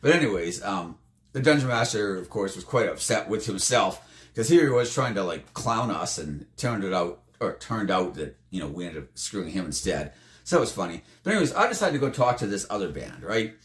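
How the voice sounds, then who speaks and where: Animated, slightly hoarse man in echoey room. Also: a little fast. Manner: animated, jumps up in pitch for emphasis. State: slightly hoarse, losing his voice. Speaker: tabletop game player recounting a campaign. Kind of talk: casual storytelling to camera.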